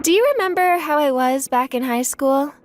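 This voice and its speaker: A woman's voice: high voice, expressive, normal speed. A woman playing a kind, grateful young woman, an old school friend, in a manga dub. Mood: tender, grateful.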